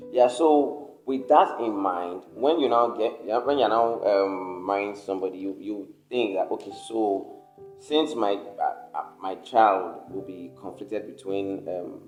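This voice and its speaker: A deep-voiced man co-hosts a casual podcast, agreeable and easygoing, often pausing with hesitations and repeats. His voice rings in a roomy, echoey space, with faint music underneath. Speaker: podcast co-host. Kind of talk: casual chat. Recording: roomy echo.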